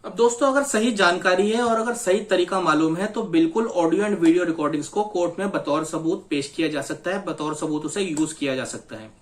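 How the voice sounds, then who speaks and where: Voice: mid-range voice, brisk, hardly any pauses. A legal advisor with an Indian accent explaining things to viewers step by step, methodically and with emphatic repetition. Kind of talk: explanatory lesson addressed to viewers.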